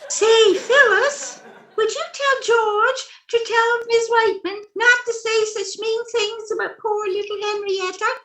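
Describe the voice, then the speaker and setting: A woman's voice: extremely high pitch, steady tone, unhurried, pushed and bright, exaggerated voice. A woman playing a sweetly naive, scatterbrained wife in a 1940s-style radio comedy, with a girlish voice. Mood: breezy, sweet. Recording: roomy, echoing recording.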